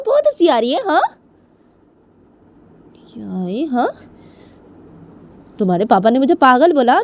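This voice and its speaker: A woman's voice: fairly high pitch, darkish tone, voice pushed up. A woman voicing an angry, aggrieved dinosaur mother in a story, scolding with repeated, badgering questions. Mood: scolding, threatening. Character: furious, aggrieved dinosaur mother, nagging and indignant.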